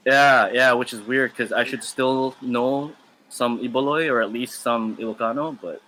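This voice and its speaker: A man's voice: medium pitch, steady tone, normal speed, sharp tone, slight background noise, low-quality recording. A man in his thirties, a guest on a casual podcast chat, joking about himself and laughing often. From Philippines, raised in Canada. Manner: self-deprecating, laughing often.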